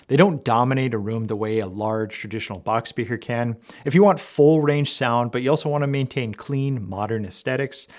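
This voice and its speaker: A man with a mid-pitched voice delivers a product review in a measured, explanatory manner. He sounds like a reviewer working from prepared points, leaning on key words for emphasis. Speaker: audio equipment reviewer. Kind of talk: product review to camera, semi-scripted.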